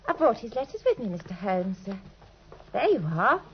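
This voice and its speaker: A woman's voice: mid-range voice, very animated, normal speed, slightly rough, heavily acted. An actress in an old British radio drama playing a naive, trusting, lovelorn young woman who speaks earnestly and breathlessly. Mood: wistful, confiding. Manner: breathless, earnest, deferential, pours out her story. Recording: dull, lo-fi old radio recording.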